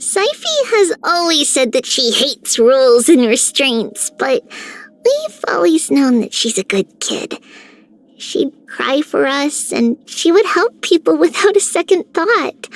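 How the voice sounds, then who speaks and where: An actress voicing a sweet, affectionate, childlike heroine in a video game. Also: female voice, high-pitched, exaggerated voice. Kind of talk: video game character voice lines.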